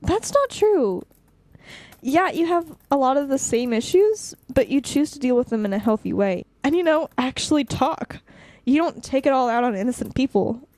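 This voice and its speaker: A woman's voice: high voice, lively intonation, normal speed, a little mellow, dry recording. A woman playing a blunt, hot-tempered but caring young vigilante in a naturalistic audio drama, speaking emphatically. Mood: apologetic, caring.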